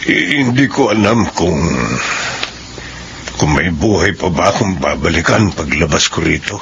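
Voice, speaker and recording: An actor playing a weary, hesitant Filipino convict afraid of freedom, in a radio drama. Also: male voice, low-pitched, animated, unhurried. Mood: resigned, downcast. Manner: hesitant, subdued. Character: weary, fearful male convict about to be freed. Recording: dull lo-fi radio drama, faint noise.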